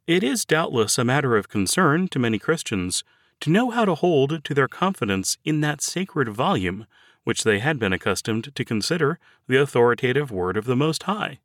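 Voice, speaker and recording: A man narrating a written devotional sermon in a measured, earnest, formal oratorical manner. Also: mid-range voice, a bit bright, no room sound, studio quality. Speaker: audiobook narrator reading a sermon. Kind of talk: scripted devotional sermon reading.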